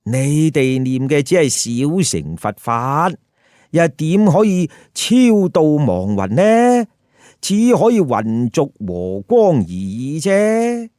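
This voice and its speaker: A man voicing a bodhisattva disguised as a scabby monk, declaiming slowly and solemnly in a told tale. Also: on the high side, very animated, warm tone, no room sound, clean studio sound. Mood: loud, reproving.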